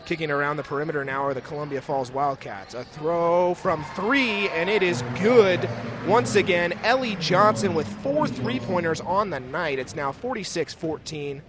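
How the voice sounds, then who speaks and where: A young American man calling high school basketball as a live radio play-by-play announcer. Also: normal speed. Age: young adult. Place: indoor high school gym, courtside. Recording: noisy gym ambience. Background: bouncing basketballs, gym noise.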